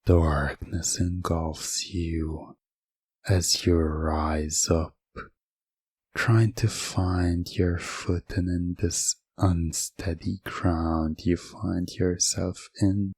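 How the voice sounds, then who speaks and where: Male hypnosis storyteller: low, dark-toned, suggestive, with dramatic pauses and a close, dry sound. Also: steady tone, breathy edge, no echo. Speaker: hypnosis narrator. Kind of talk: scripted second-person hypnosis ASMR storytelling.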